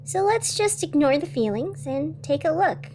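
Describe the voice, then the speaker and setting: A woman playing a radio host in an audio drama, narrating with measured, theatrical delivery and dramatic pauses. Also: sits high, lively intonation, mellow, slight background noise. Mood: frazzled, wry. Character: sleepless, over-caffeinated female radio host in strange city. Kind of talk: scripted radio fiction narration.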